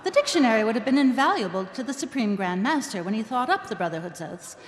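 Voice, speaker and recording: A middle-aged woman playing a dry, measured narrator, reading scripted narration in live radio theatre. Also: on the high side, big pitch swings. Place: stage in a hall. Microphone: stand microphone. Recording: echoey live stage hall.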